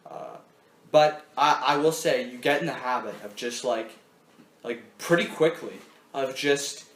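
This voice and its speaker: A young man hosting a casual podcast chat, rambling with many fillers and restarts. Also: mid-range voice. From Canada. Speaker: podcast host. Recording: echoey room.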